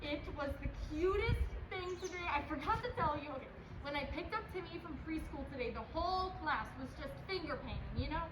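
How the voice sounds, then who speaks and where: Really high voice, excitable teasing young mom played by an actress in a comedy play, echoey and noisy. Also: female voice, fairly quick, hardly any pauses. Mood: chatty. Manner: exclamatory, insistent, playful. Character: excitable, teasing young mother chatting with her partner. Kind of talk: stage comedy acting.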